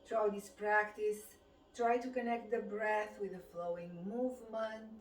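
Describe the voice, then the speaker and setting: Slow, calm Romanian-accented woman instructor. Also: mid-range voice, slight background noise. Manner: calm, slow instructional cues timed to breathing. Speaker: fitness instructor. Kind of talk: guided qigong class instruction. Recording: roomy sound from a distant mic.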